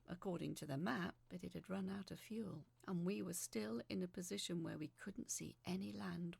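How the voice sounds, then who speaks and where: A woman's voice: on the low side, vocal fry, darkish tone, slightly rough, no echo. A young woman performing a dramatic first-person horror narration in an emotive, suspenseful way.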